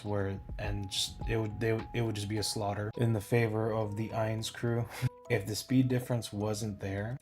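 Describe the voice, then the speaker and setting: A young man talking casually in a flat, monotone way, rambling with many restarts and fillers as he breaks down a versus battle. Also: on the low side. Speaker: YouTube versus-battle analyst. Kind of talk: casual spoken analysis over music. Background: lo-fi hip hop music.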